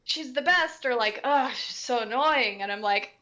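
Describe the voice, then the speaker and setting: A woman's voice: fairly high pitch, moderate pace, bright, forceful tone. A woman voice actor chatting in a group commentary, reflective, feeling out her phrases as she goes. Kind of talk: casual group commentary discussion.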